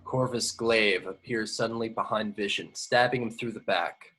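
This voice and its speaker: A man's voice: on the low side, steady tone, moderate pace, pushed and bright, slightly rough, faint music behind, rough recording. A man reading screenplay action lines at a table read, matter-of-fact with the odd stumble. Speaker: stage-direction reader.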